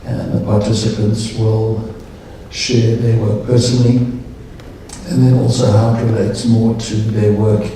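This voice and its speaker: Man, guided meditation, measured, deep echo, distant mic. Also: a little hiss or hum. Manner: calm, slow, measured. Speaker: meditation leader. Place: large conference hall. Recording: echoey hall, distant mic.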